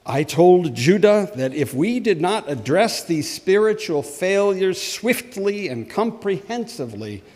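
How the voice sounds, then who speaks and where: A man playing a solemn, impassioned ancient Hebrew prophet, delivering a scripted sermon in measured, emphatic oratory. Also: high voice, big pitch swings, a little mellow, full character voice. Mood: grave testimony. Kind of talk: dramatic first-person sermon read from a script. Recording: long hall reverb.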